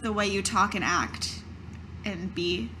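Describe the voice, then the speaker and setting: Female tabletop player: mid-range voice, conversational, improvised roleplay with some halting. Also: mid-range voice, background noise. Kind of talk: improvised in-character roleplay in own voice.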